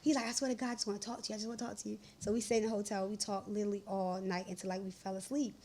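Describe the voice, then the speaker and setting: A woman's voice: medium pitch, rapid-fire, slight background noise. A woman in her thirties, a podcast guest, telling stories in casual, profane chat. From Baltimore. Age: 30s. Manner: animated, profane, laughing storyteller.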